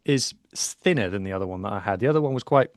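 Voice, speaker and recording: A man's voice: lowish voice, lively intonation, fast talker, no echo. A man co-hosting a rugby podcast from his home studio, chatting and explaining in a self-deprecating way. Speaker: podcast co-host. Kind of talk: casual chat and rugby analysis.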